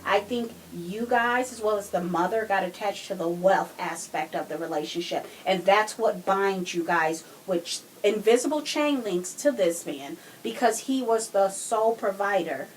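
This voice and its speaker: A woman's voice: medium pitch, normal speed, hardly any pauses, a little hiss or hum, low-quality recording. A woman co-hosting a podcast, talking casually and emphatically as she argues her points in a true crime discussion. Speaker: podcast co-host.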